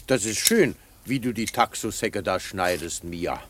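An actor playing a lazy, evasive, self-satisfied uncle in a children's radio play. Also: male voice, fairly low pitch, lively intonation, unhurried, a bit bright, slight background noise. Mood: smug laziness. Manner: theatrical, self-satisfied, hesitant when evasive. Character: lazy, jovial, shady uncle with evasive charm.

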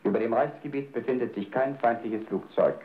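An actor playing a formal wartime radio announcer reading bulletins through an old radio filter. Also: male voice, mid-range voice, brisk, slight background noise. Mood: official. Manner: formal, official. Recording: tinny narrow-band radio sound.

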